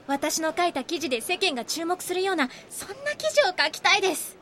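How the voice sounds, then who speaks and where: A woman's voice: very high-pitched, brisk, a bit bright. A woman playing an ambitious, insistent young rookie magazine reporter in a Japanese voice drama. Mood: eager, pleading. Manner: earnest, insistent.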